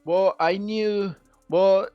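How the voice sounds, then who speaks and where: A man's voice: high voice, fairly even intonation, extremely slow, smooth, no echo, lo-fi sound, faint music behind. A middle-aged man from Costa Rica, a native Spanish speaker with a Costa Rican accent, telling his own story casually as an interview guest. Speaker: podcast guest, Spanish teacher.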